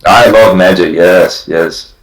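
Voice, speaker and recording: A man from Brazil, a company CEO chatting as a guest on a livestream, halting and full of "you know". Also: low-pitched, reverberant, low-quality recording. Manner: halting, many restarts and "you know" fillers. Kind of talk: casual livestream chat.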